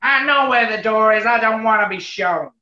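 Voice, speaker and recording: A woman's voice: a little high, moderate pace, bright, forceful tone, muffled recording. A woman playing an earnest, cocky but nervy male tabloid reporter, hesitant yet insistent, in a 1940s radio comedy. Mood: defiant.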